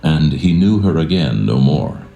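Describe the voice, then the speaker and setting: A man with an extremely deep, dark voice and a gravelly creak narrates the Bible. His delivery is solemn, measured and reverent, in a roomy echo. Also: big pitch swings. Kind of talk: solemn scripted scripture narration. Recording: roomy echo with music behind.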